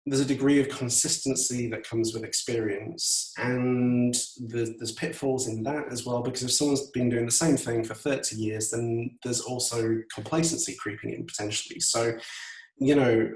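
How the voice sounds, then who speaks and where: A man's voice: mid-range voice, fairly even intonation, normal speed. A middle-aged man from Yorkshire with an English accent, chatting as an interview guest in a hesitant, thoughtful way. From Yorkshire, England. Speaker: podcast guest, photographer. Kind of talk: casual interview chat. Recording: roomy echo, distant from mic.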